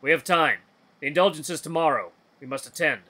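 Man voicing a stern, commanding hound warrior, forceful. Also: a little high, brisk. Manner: formal, clipped, imperative speech without contractions. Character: stern, commanding male anthropomorphic hound warrior, desperate protector.

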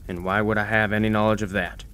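A man's voice: on the low side, level tone, fast, a bit bright, a little hiss or hum, close to an everyday voice. Man playing a grumpy old blacksmith god. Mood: dismissive. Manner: grumbling, rambling about old grievances. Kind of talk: audio drama acting.

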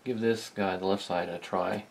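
A man giving a casual hands-on demonstration, talking in a halting, rambling, self-deprecating way. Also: deep voice, steady tone, creaky. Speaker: host of a vintage electronics video. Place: indoor electronics workbench.

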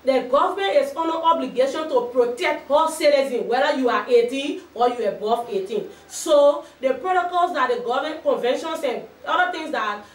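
Heard from across a small, echoey office, a middle-aged Liberian woman answers reporters in a fairly high, firm voice. She sometimes restarts a phrase before she settles on her point. The far-off camera pickup adds plenty of room sound. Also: animated, brisk, few pauses. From Liberia, Nimba County. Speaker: advocacy group spokesperson. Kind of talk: press conference answers. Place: small office with shelves, indoors. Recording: roomy echo from a distant camera.

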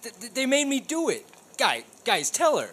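Man playing animated, stammering bumbling cop. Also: very high voice, moderate pace, slight background noise, full character voice. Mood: embarrassed, defensive. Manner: stammering, defensive. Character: sheepish, crude, bumbling male police officer. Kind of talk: live audio comedy acting. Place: small indoor stage with live audience. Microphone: studio mic on stand.

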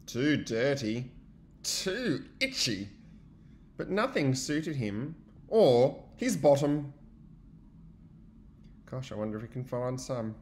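A man giving an expressive, child-directed storybook read-aloud, like a teacher, with chatty asides. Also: on the high side.

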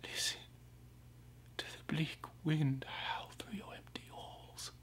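Man acting a slow, anguished mad prophet. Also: medium pitch. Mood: hushed, pleading. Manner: slow, brooding, swinging between breathy whispers and ringing cries. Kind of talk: theatrical dramatic monologue.

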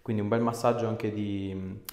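Deep, gravelly man giving short directions. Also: little pitch movement, moderate pace, a little mellow. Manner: informal, reassuring, short directions. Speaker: chiropractor. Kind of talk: casual instructions to a patient during treatment. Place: small indoor treatment room. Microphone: camera a short distance away. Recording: echoey room with background noise.

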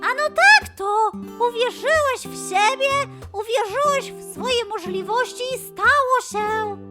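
A woman voicing an excitable, bossy but kind-hearted girl monkey in a children's audio story, often repeating her words. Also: extremely high pitch, a little slow, over music, voice pushed up. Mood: warm, reassuring.

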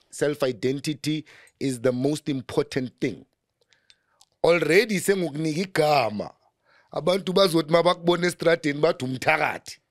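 A South African host opening and leading a casual conversation, playful and teasing, switching between languages. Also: mid-range voice. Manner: playful, animated, mixes languages.

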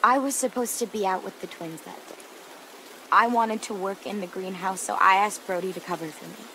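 A woman's voice: medium pitch, moderate pace, sharp tone, natural voice, poor audio. Woman as guarded teen girl, nature noise behind. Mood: guilty, regretful. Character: guarded, guilt-ridden teenage girl survivor. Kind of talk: naturalistic video game drama acting. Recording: clear outdoor nature ambience behind.

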